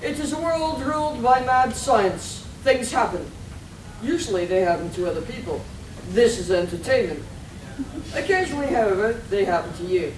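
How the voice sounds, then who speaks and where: A woman's voice: fairly high pitch, animated, moderate pace, natural voice. A woman performing an arch, mock-dramatic narrator of a theatrical radio serial. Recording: echoey, noisy hall recorded from far off.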